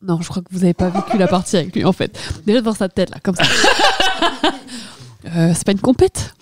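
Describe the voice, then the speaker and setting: A woman's voice: medium pitch, very animated, a little fast, very noisy. A young woman co-hosting a casual podcast, chatty and laughing a lot between her words. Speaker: podcast co-host. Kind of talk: casual chat among friends.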